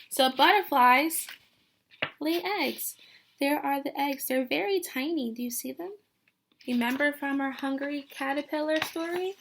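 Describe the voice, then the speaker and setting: A woman teacher reading a picture book aloud to young children in an animated way, often putting questions straight to them. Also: sits high.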